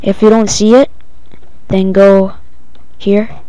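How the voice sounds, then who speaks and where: A female-sounding speaker giving a casual, halting, improvised step-by-step software tutorial. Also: medium pitch, little pitch movement, smooth, dry recording. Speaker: tutorial presenter. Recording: dull lo-fi home recording.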